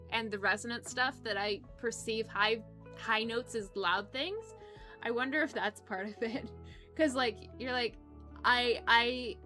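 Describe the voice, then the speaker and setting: A woman's voice: on the high side, pushed and bright. A young woman streaming, chatting casually with her viewers in a rambling, giggly way that often trips over words. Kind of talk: casual chat with live chat.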